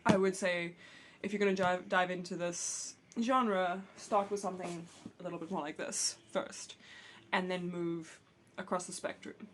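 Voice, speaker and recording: A low-voiced woman chats candidly to camera from home, with a slight cold and a touch of phlegm. She restarts sentences and talks in a loose, friendly way over a faint room hum. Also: steady tone, a little slow. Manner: chatty, candid, restarts and swears casually. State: has a cold, a bit phlegmy. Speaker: vlogger. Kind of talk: casual talk to camera. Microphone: camera mic, reading with books on her lap.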